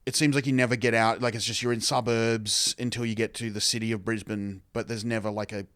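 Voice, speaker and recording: A man's voice: fairly low pitch, level tone, a little fast, a bit bright, smooth, no echo, studio quality. A young adult man with an Australian accent, rambling through casual chat as a podcast guest, hesitant and laughing often. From New Zealand.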